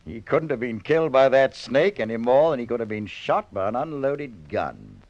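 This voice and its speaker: An actor playing a cool, matter-of-fact plane owner in an old-time radio drama. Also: male voice, medium pitch, expressive, normal speed, darkish tone, lightly acted. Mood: cool, grim. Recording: dull, noisy vintage radio recording.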